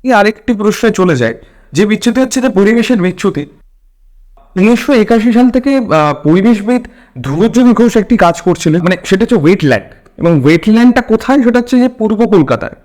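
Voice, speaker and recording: Man, West Bengal Bengali accent, high voice, varied intonation, interviewer, long explanatory questions. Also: animated, fast, darkish tone.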